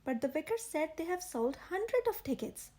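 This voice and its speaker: A woman reading the lines of a gentle, cautious male animal friend in a storybook, soft and doubtful. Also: high voice, very animated, normal speed, voice pushed up.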